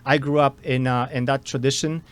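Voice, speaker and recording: Polish accent guy, mid pitch, lots of uhs and restarts, talking at an outdoor debate. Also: flat delivery, fairly quick, clean tone. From Poland. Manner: hesitant, many fillers and restarts. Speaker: political candidate. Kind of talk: unscripted debate introduction.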